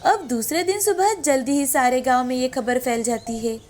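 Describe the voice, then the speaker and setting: A woman's voice: sits high, moderate pace, darkish tone. A woman storyteller reading a scripted moral tale as narrator. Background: background music.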